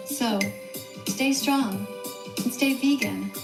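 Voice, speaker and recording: High preachy woman over loud music. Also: slow pace, gravelly, reverberant. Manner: firm, preachy, lecturing. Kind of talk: scripted advice narration.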